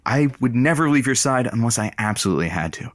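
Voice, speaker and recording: A man's voice: medium pitch, lively intonation, quick pace, dry recording, lightly acted. A man playing a loyal, cheeky, easygoing radio producer in an audio comedy, with playful, droll delivery. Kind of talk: audio comedy drama dialogue.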